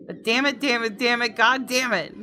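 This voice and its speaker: A woman's voice: a little high, moderate pace, noisy background. A woman game streamer reading visual novel text aloud, dramatically and with playful outbursts. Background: game music with guitar.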